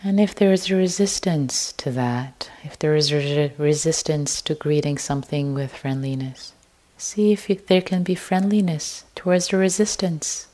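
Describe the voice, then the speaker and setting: A woman leading a guided meditation as a teacher, speaking gently in short, unhurried phrases. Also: deep voice, steady tone.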